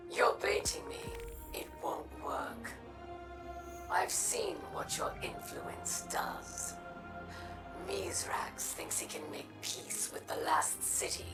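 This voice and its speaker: A woman's voice: very high voice, huge pitch swings, slow, exaggerated voice. A woman voicing a bitter, proud female alien warlord in a video game, with a hissing, menacing, defiant delivery. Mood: bitter, guarded. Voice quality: gravelly whispery rasp. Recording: loud music behind the voice.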